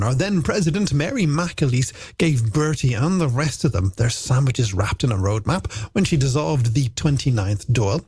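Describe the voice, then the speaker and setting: Animated male radio presenter. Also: a little high, vocal fry, breathy edge, no room sound. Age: middle-aged. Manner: playful, theatrical, polished delivery. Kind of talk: late-night music radio presenting with pun game. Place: radio studio. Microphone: close studio broadcast microphone. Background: music bed.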